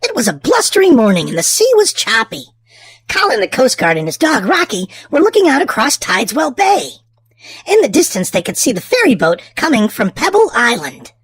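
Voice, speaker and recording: A woman hosting and narrating a children's storybook aloud, with theatrical, drawn-out emphasis for small children. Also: a little high, huge pitch swings, husky, no echo. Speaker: children's storybook reader and channel host. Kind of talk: expressive read-aloud narration for young children.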